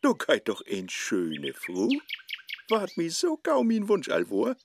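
An actor playing a hapless, lovestruck Faust in a comic rhymed radio play, with a Low German accent and theatrical asides. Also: male voice, wildly swooping pitch, slow pace, creaky, a little hiss or hum, full character voice. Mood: smitten, wooing. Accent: Low German, northern Germany. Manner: theatrical, exclaiming, muttering asides. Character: lovestruck, hapless modern Faust chasing a young woman.

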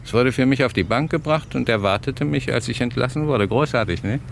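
An actor playing a cunning, smug blackmailer in a vintage German radio crime drama, with a gravelly, insistent, taunting delivery. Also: male voice, mid-range voice, a little fast, lightly acted. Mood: smug, gloating. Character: cunning, smug male blackmailer and hotel thief. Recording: noisy old radio drama recording.

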